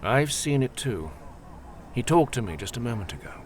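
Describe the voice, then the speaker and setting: A man playing a composed, perceptive senior churchman in an audio drama, courteous and deliberate. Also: medium pitch, big pitch swings, a little hiss or hum, close to an everyday voice. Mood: grave. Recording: faint background ambience.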